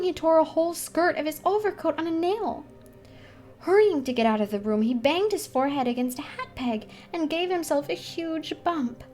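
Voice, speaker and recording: Woman voicing an excitable, high-pitched young ballet girl. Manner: breathless, insistent, gossipy.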